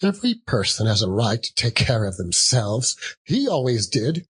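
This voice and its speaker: A man's voice: medium pitch, big pitch swings, normal speed, husky. A man voicing a brazen, callous old charwoman in a theatrical storybook reading, scornful and exclamatory. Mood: scornful, defiant. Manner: exclamatory, scornful, laughing between phrases.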